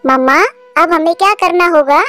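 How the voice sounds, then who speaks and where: A woman's voice: very high-pitched, normal speed, bright, forceful tone, soft background music. A woman voicing an eager, inquisitive little daughter bird in a children's cartoon. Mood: curious, eager. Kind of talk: Hindi children's cartoon voice acting.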